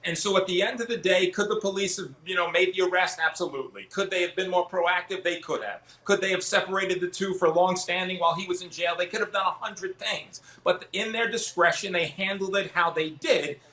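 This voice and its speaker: A man's voice: sits high, brisk, few pauses, pushed and bright. A man giving legal commentary as a panelist, often posing rhetorical questions and answering them himself, recorded in an echoing room. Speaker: defense attorney, TV panelist. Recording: roomy, echoing room sound.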